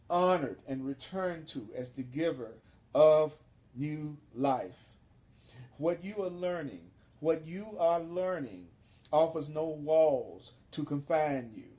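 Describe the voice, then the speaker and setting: A man giving an inspirational spiritual sermon, speaking emphatically and deliberately with repeated phrases. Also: on the high side, warm tone. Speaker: spiritual teacher leading a course.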